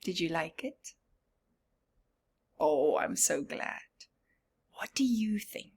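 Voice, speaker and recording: A woman reading a children's storybook aloud expressively, with chatty asides and often hushed tones. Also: low voice, expressive, slow pace, gravelly, husky. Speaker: storyteller, teacher-like reader.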